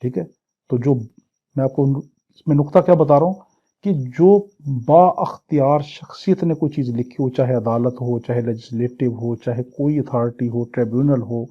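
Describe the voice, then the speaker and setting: Husky Pakistani man giving a law lecture. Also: mid-range voice, normal speed, lo-fi sound. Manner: didactic, posing questions and answering them himself. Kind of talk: exam-prep lecture, reading English clauses and explaining them.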